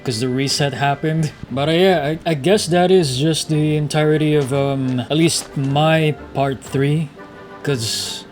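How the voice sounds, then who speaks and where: A man's voice: medium pitch, darkish tone. A man giving casual gameplay commentary as a streamer, musing aloud in a conversational way. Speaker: game streamer.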